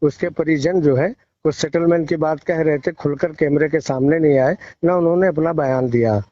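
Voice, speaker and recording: Male reporter, slightly high voice, preachy and emphatic, reporting over a dry, lo-fi call. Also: moderate pace, dark tone. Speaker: field reporter giving a report by phone. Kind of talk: news phone interview, opinionated reporting. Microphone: phone call. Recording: muffled, echo-free low-fidelity phone call recording.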